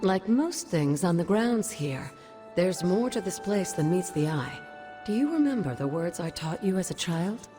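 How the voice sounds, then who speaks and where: A woman playing the ghost of an old witch mentor in a video game, doting, cryptic and teasing, in an unhurried way. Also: fairly low pitch, expressive, natural voice. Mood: sly, wistful. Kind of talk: video game cutscene acting. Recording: music behind the voice.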